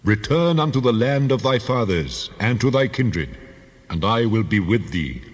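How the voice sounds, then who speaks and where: Commanding husky God in vast reverb. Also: male voice, lowish voice, very animated, measured pace, exaggerated voice. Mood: solemn command. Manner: solemn, commanding. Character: God, commanding and solemn divine voice. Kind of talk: dramatized Bible reading, audio drama acting. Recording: long cavernous reverb.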